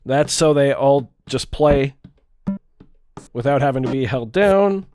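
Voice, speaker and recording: A man's voice: mid-range voice, takes it slowly, over music. Congested man giving a casual gear tutorial. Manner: relaxed, chatty, with fillers and small restarts. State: stuffed up, congested. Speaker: music gear video creator.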